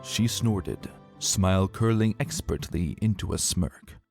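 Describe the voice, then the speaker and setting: A man's voice: sits low, slow pace, vocal fry. A man giving a dramatic, theatrical reading of a scripted story as narrator. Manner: expressive, theatrical delivery. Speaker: narrator reading fan fiction aloud. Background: music.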